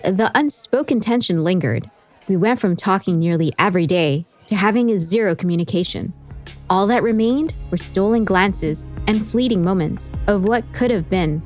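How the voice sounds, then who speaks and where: A woman's voice: medium pitch, moderate pace, darkish tone. A woman host telling a scripted story as narrator, with wry, chatty asides. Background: music behind the voice.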